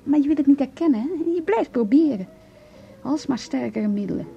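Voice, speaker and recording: A woman's voice: high-pitched, lively intonation, brisk, creaky. An actress in a radio play voicing a weary, hurt wife in her forties who confides about her marriage. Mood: bitter, anguished. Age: 40s. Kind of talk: Dutch radio play acting. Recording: dull lo-fi radio play recording, music.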